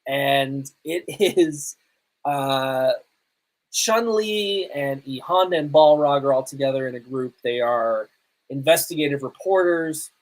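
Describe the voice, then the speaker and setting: Man giving a casual, halting movie review. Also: medium pitch, rough recording. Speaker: podcast host.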